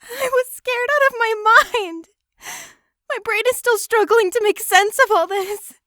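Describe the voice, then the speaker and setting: Shrill, high teenage schoolgirl. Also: unhurried, fairly bright tone, no echo, clean studio sound. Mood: shaken, indignant. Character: frightened, tearful, scolding teenage schoolgirl. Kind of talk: video game dub acting.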